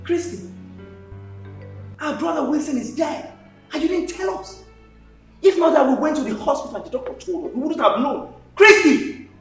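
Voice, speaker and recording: A performer playing an angry middle-aged uncle, shouting accusations in an echoey room. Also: high voice, animated, normal speed, long pauses, far from the mic, soft background music. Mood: outraged. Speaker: uncle in a family drama.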